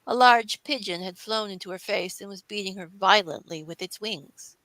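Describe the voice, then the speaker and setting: A woman's voice: mid-range voice, moderate pace, sharp tone, dry recording, rough recording. A woman reading scripted storybook narration as the narrator of a classic tale.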